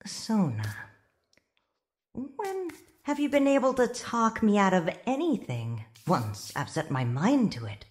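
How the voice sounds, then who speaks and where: A woman playing a firm, loving middle-aged mother who speaks calmly and will not be moved. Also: mid-range voice, slow pace. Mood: gently firm. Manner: calm and unbending.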